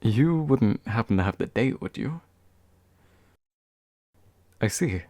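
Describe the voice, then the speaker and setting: A young man acting as a courteous, gentle demon of envy in a soft-spoken ASMR roleplay, formal and soothing with occasional hesitation. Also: fairly low pitch, gravelly, no echo, studio quality. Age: young adult. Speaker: voice actor.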